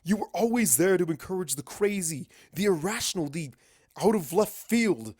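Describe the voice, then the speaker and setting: A man's voice: high voice, lively intonation, normal speed, darkish tone, husky, dry recording, clean studio sound, pitched above the performer's own voice. A man voicing a blunt, challenging male pony scholar in a fan fiction reading. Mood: insistent, challenging.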